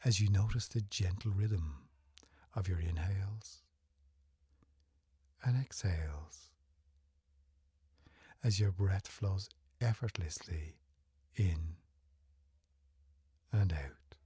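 Deep, breathy man guiding a slow meditation. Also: no echo. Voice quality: breathy, husky, often near-whispered. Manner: soothing, gentle, unhurried. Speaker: meditation guide. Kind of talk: guided meditation narration.

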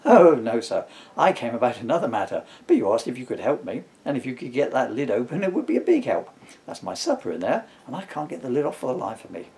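A man's voice: mid-range voice, sing-song, fast talker, darkish tone. Man putting on a dry, sing-song police officer. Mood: friendly. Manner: formal, dryly sarcastic. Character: polite, sarcastic, nosy male police officer. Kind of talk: comic storybook character voice.

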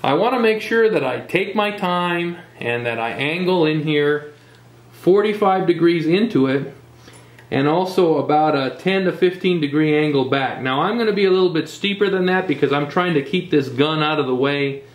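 A man's voice: on the high side, normal speed. Man demonstrating welding in a roomy shop. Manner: step-by-step explaining, addresses viewers as folks at home. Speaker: welding instructor. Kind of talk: on-camera workshop demonstration. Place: welding workshop. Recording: roomy workshop sound.

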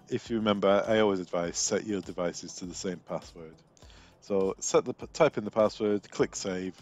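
A man's voice: fairly low pitch, highs cut off. A man working as a technical trainer, narrating a screen demo in an informal, step-by-step way.

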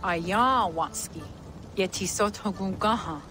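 A woman playing a calm, dignified villager in a game cutscene. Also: mid-range voice, slow, music in the background, close to an everyday voice. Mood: solemn.

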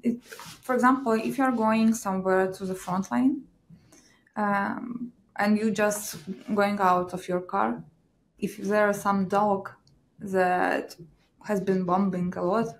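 A woman's voice: mid-range voice, fairly even intonation, takes it slowly, a little hiss or hum. A woman in her thirties with a Ukrainian accent, a guest doctor in an interview, speaking hesitantly and searching for words at a desk podcast mic in a small studio. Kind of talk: interview conversation. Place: small studio room with a banner.

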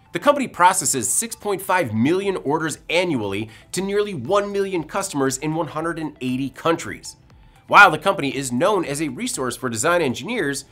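Lively male news presenter, music behind. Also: a little high. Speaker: video news presenter, editorial director. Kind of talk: scripted news narration. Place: indoor studio.

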